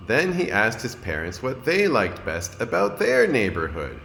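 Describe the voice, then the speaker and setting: A man, a kindergarten teacher, reading a storybook aloud to young children in an expressive, deliberate way and addressing his listeners. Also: mid-range voice, creaky. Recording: roomy echo, noticeable background noise.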